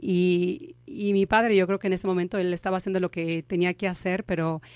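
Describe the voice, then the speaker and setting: A woman in her thirties, born in Mexico, speaking as an interview guest and telling her story candidly with pauses and restarts. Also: on the low side, little pitch movement, a little mellow, clear, resonant tone, dry recording. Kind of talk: conversational interview.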